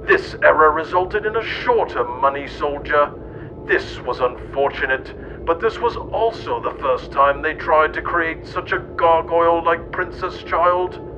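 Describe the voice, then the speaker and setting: Male narrator, slightly high-pitched, theatrical mock-serious storybook delivery, noisy lo-fi sound. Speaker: narrator and host. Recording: dull, noisy, rumbly old-radio sound.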